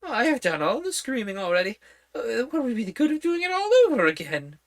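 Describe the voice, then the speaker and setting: Scatterbrained old storybook queen, high and fluttery, a woman reading it. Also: very animated, brisk. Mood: fussing.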